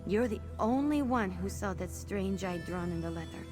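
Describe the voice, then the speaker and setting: A woman playing a loyal, warm-hearted young former pickpocket in a video game, speaking in an earnest, heartfelt way. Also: mid-range voice, animated, normal speed, music in the background, close to an everyday voice. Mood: relieved, grateful. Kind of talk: video game character dialogue.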